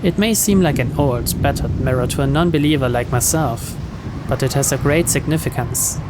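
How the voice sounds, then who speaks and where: A man's voice: mid-range voice, normal speed. A man reading a visual novel aloud as dramatic first-person narration. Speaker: video game player reading the story aloud. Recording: clear background noise.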